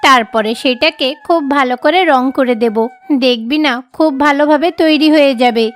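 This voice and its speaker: A woman's voice: high-pitched, moderate pace, soft background music, lightly acted. A woman voicing a kind, resourceful mother bird with a reassuring manner in a cartoon.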